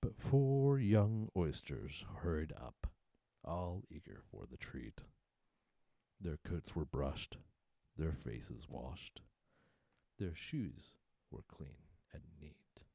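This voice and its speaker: A man giving a dramatic, deliberate recitation of a narrative poem in a theatrical manner. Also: extremely low pitch, sing-song, vocal fry, mellow, no room sound. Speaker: poetry reader.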